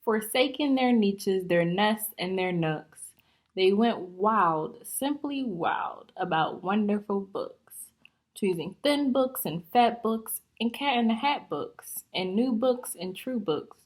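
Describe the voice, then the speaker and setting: A woman reads a picture book to her students as a teacher would. Her pitch sits in the middle and her pace is very unhurried. She is deliberate and instructive, explaining and questioning as she goes. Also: expressive. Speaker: teacher reading aloud to students.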